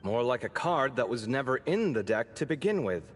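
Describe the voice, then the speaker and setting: An actor playing a sharp young male adventurer who makes dry corrections in a video game. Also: medium pitch, very animated, moderate pace, a little hiss or hum, close to an everyday voice.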